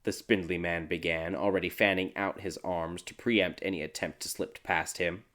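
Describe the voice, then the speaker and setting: A man narrating a dark fairy tale audiobook in a dramatic, scripted storytelling style. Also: low voice, steady tone, moderate pace. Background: background music.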